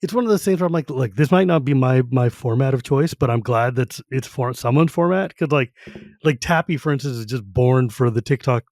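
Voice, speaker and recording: A man hosting a casual podcast chat, relaxed and laughing often, using easy slang. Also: mid-range voice, brisk, no echo, studio quality. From United States. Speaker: podcast host.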